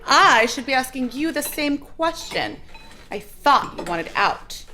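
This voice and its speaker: Woman playing a taunting, high-pitched outlaw boss. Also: sing-song, bright, forceful tone, full character voice. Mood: menacing taunting. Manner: taunting, theatrical, drawn-out delivery. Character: menacing, gloating male outlaw gang boss. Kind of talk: western radio play acting.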